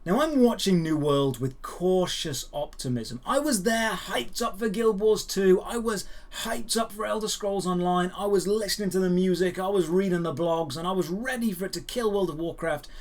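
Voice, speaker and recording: A man hosting gaming news, giving scripted, opinionated commentary in emphatic, measured phrases with deliberate stresses. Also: sits high. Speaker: host of a gaming news show.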